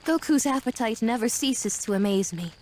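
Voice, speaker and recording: A woman's voice: fairly high pitch, lively intonation, normal speed, darkish tone, slight background noise, lightly acted. A woman voicing a composed, courteous, centuries-old genderless tree-born being in an anime video game, formal and articulate.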